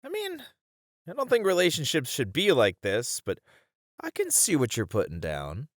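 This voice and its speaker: A man's voice: on the high side, huge pitch swings, normal speed, no room sound. A man playing a hesitant but intrigued game developer in a conversational ASMR roleplay, casually thinking aloud on a close mic. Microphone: close studio mic.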